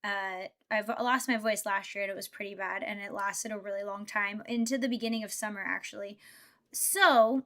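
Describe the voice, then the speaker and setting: A woman's voice: mid-range voice, fairly even intonation, normal speed, fairly bright tone. A young woman streamer chatting casually while building Lego, thinking aloud and answering her chat. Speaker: VTuber streamer.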